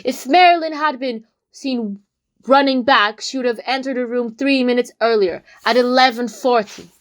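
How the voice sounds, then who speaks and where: A woman's voice: on the high side, normal speed, lightly acted. A woman playing an earnest young amateur detective, explaining a case theatrically in an audio drama. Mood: dramatic, confident.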